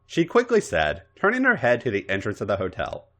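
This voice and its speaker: A man narrating a story aloud in a dramatic, expressive way. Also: medium pitch, a little fast, very noisy. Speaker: podcast host reading fan fiction. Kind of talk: story narration with acted dialogue.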